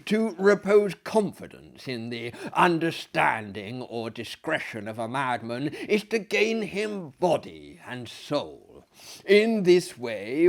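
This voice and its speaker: Man acting a pompous, self-assured asylum director. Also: medium pitch. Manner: emphatic, pompous, lecturing. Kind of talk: theatrical storytelling of a classic tale.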